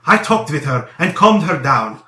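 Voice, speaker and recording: Man playing a flustered, fatherly old commissioner, very animated. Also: a little high, normal speed. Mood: fatherly, coaxing. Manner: rambling, earnest, coaxing, repetitive. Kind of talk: dramatized audiobook character dialogue. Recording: roomy echo.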